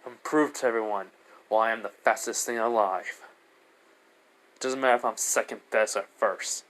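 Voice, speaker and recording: A man voicing a brash, determined young male hedgehog hero in an amateur story, proud and defiant but soft-hearted. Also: on the low side, moderate pace, lightly acted. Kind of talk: amateur character voice in improvised storytelling. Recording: noisy amateur recording.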